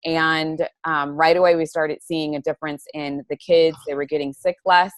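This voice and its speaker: Low-voiced woman in her forties, earnest. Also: little pitch movement, moderate pace, no room sound. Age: 40s. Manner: earnest, chatty. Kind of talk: personal testimonial. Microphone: laptop webcam on a video call.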